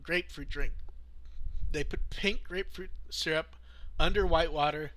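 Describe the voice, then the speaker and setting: A man with a fairly high, very bright and forward voice hosts a podcast. He chats casually as he goes through news items. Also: moderate pace, low-quality recording. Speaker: podcast host.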